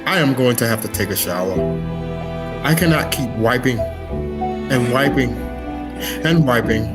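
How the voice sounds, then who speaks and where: A man putting on a solemn, grave narrator for a mock-dramatic comedy reading, speaking in a slow, deliberate way. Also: medium pitch, loud music behind the voice, lightly acted. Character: solemn dramatic narrator parodying a famous actor, recounting a mishap.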